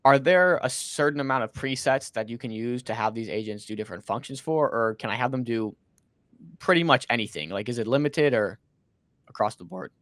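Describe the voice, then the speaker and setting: A man's voice: mid-range voice, brisk, fairly bright tone, no room sound, studio quality. A man hosting an interview, easygoing and asking follow-up questions. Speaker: show host. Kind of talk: casual interview.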